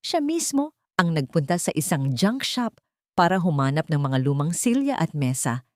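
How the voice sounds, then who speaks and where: Woman, Filipino accent, lowish voice, dramatic story narration, measured phrasing, close dry recording. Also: very animated, darkish tone, dry recording.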